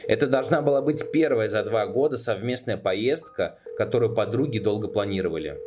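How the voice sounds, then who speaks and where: A man's voice: on the low side, brisk, noisy background. A man narrating a scripted documentary podcast as its narrator. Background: background music.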